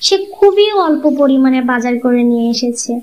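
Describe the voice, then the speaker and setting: A woman playing a high-voiced, plaintive young daughter-in-law. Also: lively intonation, darkish tone. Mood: plaintive, worried. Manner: pleading, drawn-out worrying. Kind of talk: cartoon story dialogue.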